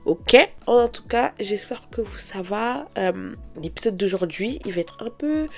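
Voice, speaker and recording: Woman, stuffed-up nose from a cold, mellow tone, chatty faith podcast host, soft music underneath. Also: mid-range voice, lively intonation, a little slow. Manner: chatty, addresses listeners directly.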